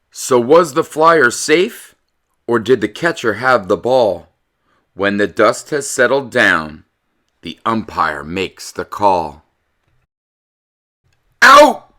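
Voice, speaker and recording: A man giving an expressive, dramatic read-aloud in the manner of a children's storyteller. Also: mid-range voice. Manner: animated, dramatic delivery.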